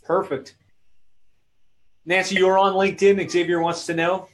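A man's voice: high-pitched, flat delivery, measured pace. A man hosting a live webinar who reads out viewers' comments and wraps up the session in a friendly way. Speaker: webinar host.

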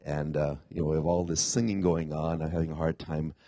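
Man with a dull, husky voice. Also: rumbling low, little pitch movement, brisk, warm tone, dry recording, studio quality. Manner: conversational, asks the congregation questions. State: congested from a cold-like ailment after a tooth extraction. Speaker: pastor. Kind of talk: sermon.

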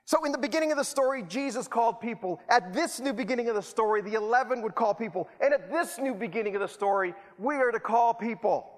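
Male preacher, high voice, rhetorical and self-mocking, echoing hall. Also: fast talker, few pauses. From El Salvador. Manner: rhetorical, repetitive, self-deprecating humor. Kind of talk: sermon. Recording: long hall reverb.

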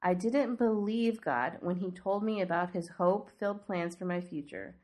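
A woman's voice: lowish voice, normal speed, darkish tone. A young adult woman with a cold and a stuffy, snuffly voice, hosting a devotional podcast in an earnest, conversational teaching manner with rhetorical questions. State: congested from a cold. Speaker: podcast host.

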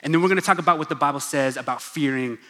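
A man's voice: fairly high pitch, rapid-fire, fairly bright tone. A young man giving a casual, joking sermon as a pastor, with asides to the crowd. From Oklahoma, USA. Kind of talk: casual sermon in a church gathering. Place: large church hall. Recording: long reverb of a large hall.

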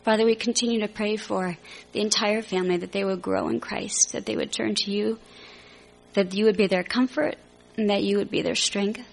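A woman hosting a church program who thanks the guest and leads a public prayer with a reverent, steady cadence. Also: medium pitch, normal speed, vocal fry, rough recording. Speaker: program host.

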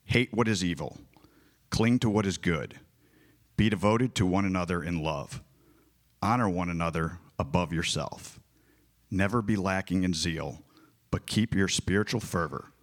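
A man reading a long scripture passage aloud in church, in a slow and solemn way. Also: deep voice. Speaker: scripture reader.

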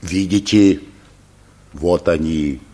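An actor playing a grave, anxious manservant in a radio play, speaking in a halting, solemn manner. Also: male voice, low voice, mellow. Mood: grave, subdued. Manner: slow, halting, solemn delivery. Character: grave, anxious manservant bringing news of death.

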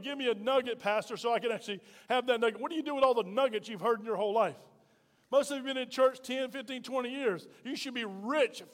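A middle-aged man preaching a sermon as pastor, emphatic and folksy, with repeated rhetorical questions. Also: very high voice. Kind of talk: sermon to a congregation. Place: church sanctuary. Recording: reverberant hall sound.

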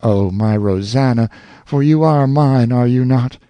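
An earnest young lover speaks in flowery, fervent phrases, as a man voices him. The voice is dark and mellow, recorded dry and close. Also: medium pitch, measured pace, smooth, no echo, close to an everyday voice. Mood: ardent. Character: ardent young male lover.

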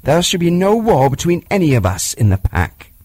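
A man's voice: fairly high pitch, very animated, moderate pace, a little mellow, dry recording, voice pushed up. A man voicing a fierce, defiant boy raised by wolves, speaking in proud, commanding archaic phrases.

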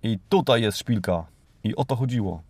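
A man's voice: lowish voice, animated, normal speed, a little mellow, no room sound. A man from Poland hosting a podcast, delivering a satirical scripted monologue in an ironic, mock-grandiose, theatrical way. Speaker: podcast host. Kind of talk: satirical scripted monologue reading and mocking news items.

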